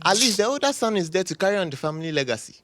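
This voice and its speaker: An actor playing a cheeky young gardener in a comic audio drama, exclamatory and gossipy. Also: male voice, sits high, sing-song, rapid-fire, fairly bright tone, slight background noise. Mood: pitying. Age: young adult. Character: excitable, cheeky young male gardener and gate boy.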